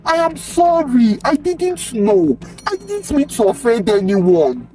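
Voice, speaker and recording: A narrator doing a timid, tearful girl, pitched up. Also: huge pitch swings, normal speed, warm tone, far from the mic, faint music behind. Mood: tearful remorse. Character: frightened, remorseful young daughter.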